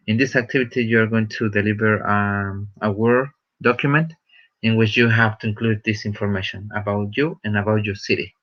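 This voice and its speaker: Low-voiced man teaching English. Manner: halting, repeats and rephrases, checks with "right?". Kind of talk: online lesson, explaining a task. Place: online session with screen sharing. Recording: dull lo-fi online session audio.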